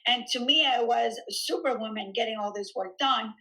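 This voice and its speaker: Chatty woman in her 30s, fitness trainer, kinda high and really bright voice, lots of ums. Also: normal speed, rough recording. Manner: chatty, animated storytelling with frequent "um" and laughs. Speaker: fitness studio founder and trainer, podcast guest. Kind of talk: casual conversational interview.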